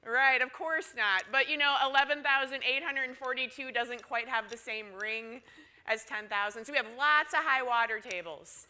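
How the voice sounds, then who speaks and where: A woman's voice: sits high, moderate pace, bright, forceful tone, clear, resonant tone. A woman from Minnesota with a Minnesotan accent, giving a conference presentation in a chatty way, often asking the audience "right?". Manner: chatty, asks audience questions with tag "right?".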